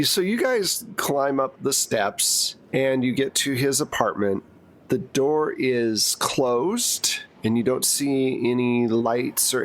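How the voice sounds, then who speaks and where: Man, game master, unhurried pace, mid-pitched voice, casual narration, joking asides. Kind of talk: narrating a tabletop roleplaying game.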